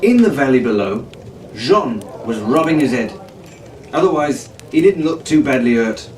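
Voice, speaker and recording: A man narrates a storybook from a script in a mid-pitched voice. The room is echoey and he sits some way from the mic, with faint hiss behind him. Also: expressive, moderate pace, a little mellow, far from the mic. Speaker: audiobook reader.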